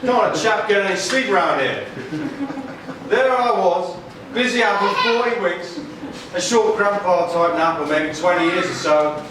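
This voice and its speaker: Male performer as pompous old puppet-show dragon, grumbling indignantly, high-pitched, recorded far off in a noisy echoing hall. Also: expressive, unhurried. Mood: grumpy, indignant. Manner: indignant, pompous, chatty British grandpa phrasing. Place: large room, live audience puppet show.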